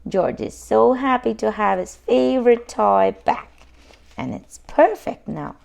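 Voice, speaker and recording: Slow, expressive woman reading to children. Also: on the high side, gravelly. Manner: expressive, deliberate. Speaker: read-aloud channel host. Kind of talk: children's storybook narration.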